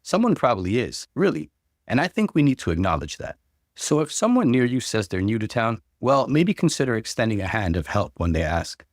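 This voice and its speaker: A man hosting a story channel, delivering scripted narration and conversational commentary with expressive emphasis. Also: medium pitch, a little fast, no echo, clean studio sound. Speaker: host reading and commenting on online stories.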